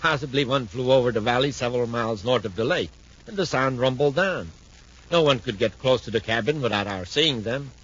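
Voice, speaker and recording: An actor playing a self-assured villainous inventor with a confident, gloating manner in a 1950s radio drama. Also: male voice, medium pitch, brisk, a bit bright, breathy edge. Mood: smug, unworried. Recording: muffled, faintly noisy 1950s radio recording.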